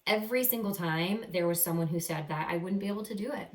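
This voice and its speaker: A woman reading a picture book aloud to children as a teacher, expressive and encouraging, pausing to explain words simply. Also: fairly low pitch. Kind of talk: animated children's read-aloud with simple asides. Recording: roomy echo, distant microphone.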